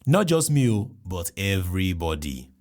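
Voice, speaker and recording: A man with a Nigerian accent, a podcast host telling a comic personal story in an animated, jokey way with exclamations. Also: low-pitched, normal speed.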